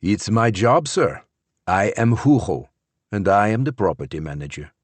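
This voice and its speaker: A man's voice: lowish voice, lively intonation, normal speed, husky, no room sound. A man doing a polite, sing-song property manager. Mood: courteous. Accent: sing-song foreign lilt. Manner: formal, courteous.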